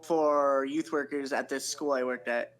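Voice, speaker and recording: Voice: medium pitch, steady tone, a little slow. A person from western Canada chatting casually and telling anecdotes. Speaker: talk show guest, former school youth worker.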